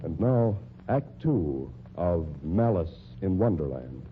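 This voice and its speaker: An actor playing the suspenseful announcer of an old-time radio mystery serial, narrating dramatically. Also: male voice, low-pitched, big pitch swings, slow pace, music in the background, full character voice. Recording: tinny, noisy vintage radio recording.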